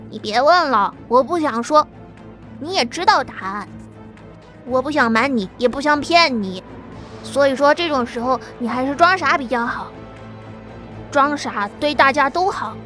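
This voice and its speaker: A woman's voice: sits high, expressive, moderate pace, long pauses, sharp tone, faint music behind. A woman voicing a blunt young man. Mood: subdued. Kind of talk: audio drama acting.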